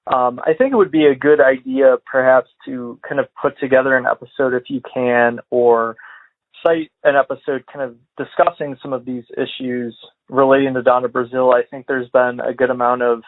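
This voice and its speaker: A man from Illinois leaving a voicemail for a podcast, talking in a measured, hesitant way with lots of fillers. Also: medium pitch, fairly even intonation. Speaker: caller. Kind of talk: voicemail commentary. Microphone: phone. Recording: tinny phone-line voicemail.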